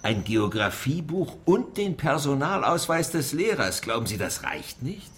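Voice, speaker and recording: An actor playing a defensive small-town French mayor in a radio crime drama, insistent and wary of outsiders. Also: male voice, mid-range voice, very animated, a little slow, a bit bright. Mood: confidential, defensive.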